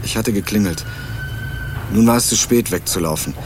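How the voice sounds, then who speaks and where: A man plays an earnest young student sleuth in a radio crime drama. His voice is fairly low, with dry, articulate phrasing and a wry edge. Music is clearly heard with him. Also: moderate pace, lightly acted. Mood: wry, reflective. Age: young adult. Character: earnest, wryly sardonic young male student turned amateur investigator. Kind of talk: German radio crime drama acting and first-person narration.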